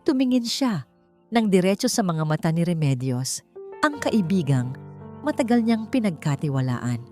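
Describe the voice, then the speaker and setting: A woman narrating a scripted story in a theatrical, suspenseful way with deliberate pauses. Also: fairly low pitch, very animated, mellow, faint music behind. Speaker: audiobook narrator.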